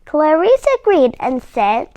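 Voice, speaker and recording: A woman reading a children's story aloud with expressive, deliberate delivery and dramatic emphasis. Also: sits high, background noise.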